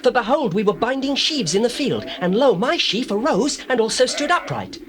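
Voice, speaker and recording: A man's voice: extremely high pitch, very animated, moderate pace, a bit bright, heavily acted. A man playing an earnest seventeen-year-old shepherd lad in a dramatized scripture recording, speaking in a declamatory way. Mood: eager, wondering. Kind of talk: dramatized scripture, stage-trained acting.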